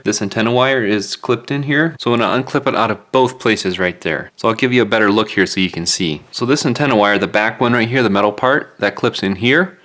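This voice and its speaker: A man's voice: lowish voice, fairly bright tone. A man narrating a step-by-step repair tutorial in an informal, plain-spoken, explanatory way. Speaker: phone repair tutorial presenter. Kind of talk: hands-on step-by-step instructional narration.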